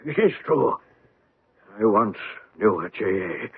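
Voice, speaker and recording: An actor playing an ailing, secretive old gentleman in a radio drama, halting and stern in a formal manner. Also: lowish voice, very animated, slightly rough, exaggerated voice. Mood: agitated, defensive. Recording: muffled vintage radio broadcast.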